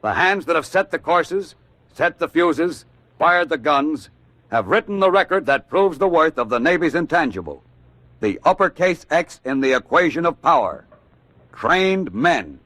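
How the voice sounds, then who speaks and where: A man's voice: a little high, big pitch swings, unhurried, fairly bright tone, no echo. A man with an American accent delivering 1940s wartime radio narration in a dramatic, declamatory, emphatic way.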